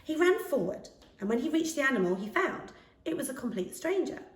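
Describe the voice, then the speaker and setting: Animated woman storyteller in an echoey room. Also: sits high, a little fast. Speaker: storybook reader. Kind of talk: expressive children's story narration. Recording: echoey room, distant microphone.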